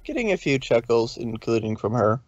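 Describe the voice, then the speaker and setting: A man's voice: medium pitch, big pitch swings, moderate pace, a little mellow, dry recording, dull audio. A man narrating a story informally, with a loose delivery in which he sometimes corrects himself. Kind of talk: informal fan fiction reading.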